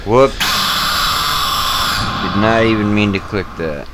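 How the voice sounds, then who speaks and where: A man's voice: fairly low pitch, slow, heavy background noise. A man giving casual game commentary, muttering short reactions to himself. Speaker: gamer. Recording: loud game music behind voice. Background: game music.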